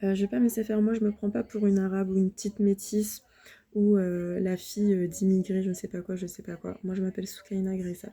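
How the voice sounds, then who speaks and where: A woman in her thirties from France, recording a halting, upset personal appeal to viewers. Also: mid-range voice, little pitch movement, warm tone. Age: 30s. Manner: halting, many hesitations and restarts; upset, defensive. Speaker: vlogger. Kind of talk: personal video appeal to the public.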